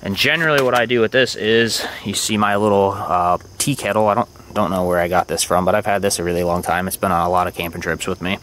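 Young man, low-ish voice, relaxed rambling vlog delivery, faint outdoor hiss. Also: fast, few pauses. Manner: relaxed and rambling, with many fillers. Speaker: motorcycle vlogger. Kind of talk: casual show-and-tell narration. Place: outdoors at a campsite.

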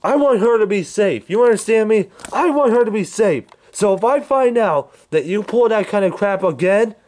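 A man voicing an angry, protective young man who yells and scolds, repeating himself. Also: high-pitched, expressive, moderate pace, pitched above the performer's own voice. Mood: threatening.

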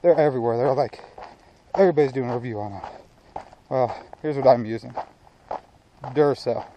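A man in his thirties with an American accent, chatting casually and off the cuff to an action camera at arm's length, in a laid-back, plain-spoken way with pauses mid-phrase. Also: medium pitch, dark tone, highs cut off. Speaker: outdoor vlogger. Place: outdoors on an open hilltop trail. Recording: noisy outdoor action-camera audio.

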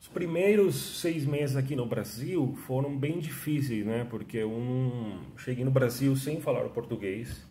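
A man's voice: medium pitch, a little slow, few pauses, dark tone, slight background noise. A man in his thirties from Venezuela, speaking with a Venezuelan Spanish accent as a second-language speaker, telling his life story in a casual, chatty monologue with many restarts and tag questions. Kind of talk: casual self-interview monologue. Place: small room at home, indoors. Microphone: phone camera at arm's length. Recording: echoey room.

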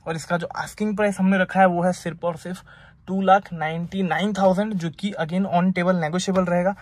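A man, a used car dealer filming a walkaround of a car for sale and briskly listing its features and price. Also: high voice. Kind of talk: car walkaround and sales pitch. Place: outdoor car lot, then inside a car on the road. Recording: outdoor noise and traffic.

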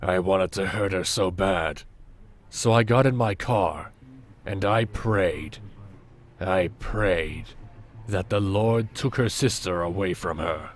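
An actor playing a gruff, regretful boss who confesses and advises in an audio drama. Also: male voice, low-pitched, slow. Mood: heavy, regretful.

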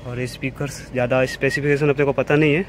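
A man talks casually as he walks around an outdoor car show with his phone camera. His voice stays level, with little rise and fall. Steady crowd and outdoor noise runs under everything he says. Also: medium pitch, fast. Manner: relaxed, plain commentary while walking. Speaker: vlogger. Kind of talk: casual walkaround narration. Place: outdoor car expo on a lawn. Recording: noisy outdoor phone recording.

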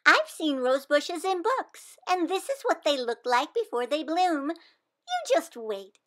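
A woman reading aloud voices a hopeful young schoolgirl who talks in an excited, slow and emphatic way. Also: high voice, pitched above the performer's own voice, heavily acted. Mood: eager.

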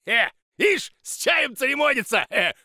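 An actor voicing an excitable, bossy, bumbling monster teacher in a children's cartoon, with a blustering, theatrical delivery. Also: high voice, unhurried, vocal fry, dry recording, clean studio sound, heavily acted. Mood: indignant. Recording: music behind the voice.

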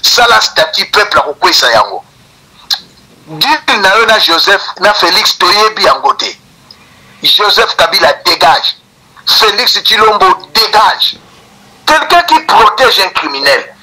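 Middle-aged Congolese man, Congolese French accent, political commentator, high and very bright voice, slightly tinny phone-like sound. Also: big pitch swings, unhurried, husky. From Congo (Kinshasa). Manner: emphatic, repetitive, scornful. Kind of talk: political monologue mixing French and Lingala.

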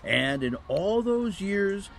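Kindly, elderly Saint Nicholas male actor for a family audio drama: gravelly, lively, avuncular. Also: high-pitched, slow, slight background noise, exaggerated voice. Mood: genial. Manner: avuncular, patient explaining with chuckles and hesitations.

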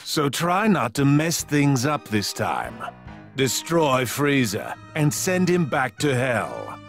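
A man's voice: mid-range voice, huge pitch swings, takes it slowly, vocal fry, faint music behind, heavily acted. A man voicing a haughty, sardonic cat-like god of destruction in a video game, imperious and condescending. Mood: stern, condescending.

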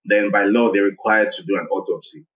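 A man, a guest recounting a personal story in a measured, reflective way. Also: fairly low pitch, steady tone, a bit bright, poor audio. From Nigeria. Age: 30s. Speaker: guest, investigative journalist. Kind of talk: personal account in interview.

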